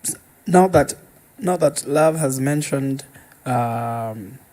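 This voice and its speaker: A man's voice: medium pitch, slow pace, darkish tone, low-quality recording. A man with a Kenyan accent chatting casually as a podcast guest, explaining his points emphatically.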